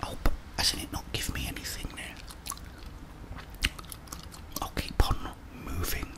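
A young man giving whispered ASMR game commentary in a breathy voice, murmuring short remarks to himself and his viewers. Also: a little slow, rough recording. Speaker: gaming ASMR host. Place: small indoor room with plain wall.

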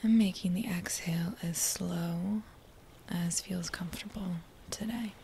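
A woman guiding a body scan meditation in a soft, breathy near-whisper, with a gentle, soothing manner and unhurried phrases. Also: on the low side, steady tone. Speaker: meditation guide. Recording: faint background noise.

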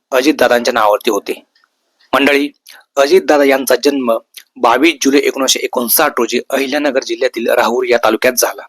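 Man, mid-pitched voice, news commentary, earnest, emphatic, repeated phrases, very dry recording. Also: fairly bright tone, no room sound. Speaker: host of a news video channel. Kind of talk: semi-scripted news commentary, tribute to camera.

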